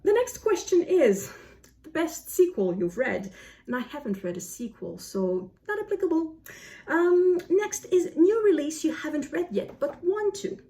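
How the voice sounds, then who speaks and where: A woman talking casually as a book vlogger reviewing to camera, often pausing and rephrasing as she goes. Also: very high voice, big pitch swings, dark tone.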